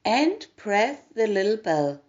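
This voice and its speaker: A woman delivers a scripted channel message at a slow pace. Her pitch is mid-range and moves a lot. The recording sounds a bit dull and lo-fi. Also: big pitch swings, a little mellow. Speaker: channel presenter.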